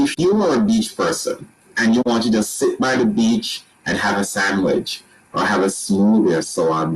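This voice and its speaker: A man with a Jamaican accent, walking listeners through resort rooms and restaurants in a relaxed sales pitch with frequent restarts. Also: rough recording. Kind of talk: presenting a slideshow tour.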